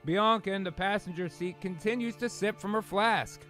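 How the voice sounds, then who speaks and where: A man with a bright, forceful, fairly high voice directs and narrates an improvised comedy. He is casual and joking and takes his time, with faint music underneath. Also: moderate pace.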